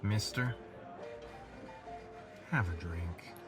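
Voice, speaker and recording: A student actor playing a big, peaceable, war-weary veteran in an amateur western radio play, laconic and restrained. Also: male voice, lowish voice, extremely slow, fairly bright tone, soft background music. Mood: reluctant.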